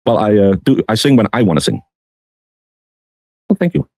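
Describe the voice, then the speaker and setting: A man giving casual, rambling commentary in a halting, meandering way. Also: on the low side, sing-song, a little mellow, no echo, clean studio sound. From Denmark. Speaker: streamer.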